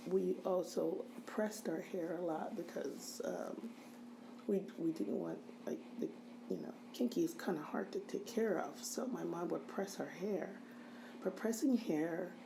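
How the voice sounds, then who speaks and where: A middle-aged woman in an interview, recalling her childhood in a halting way with many pauses. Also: fairly low pitch, vocal fry, background noise. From grew up in a US city in a Haitian immigrant family. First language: Haitian Creole. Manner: halting, many ums and restarts. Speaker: interviewee, registered nurse. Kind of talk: reflective interview recollection.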